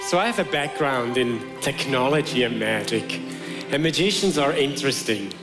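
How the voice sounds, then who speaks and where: Measured male stage presenter over music. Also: medium pitch, animated. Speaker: stage magician and technologist. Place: theatre stage before a live audience.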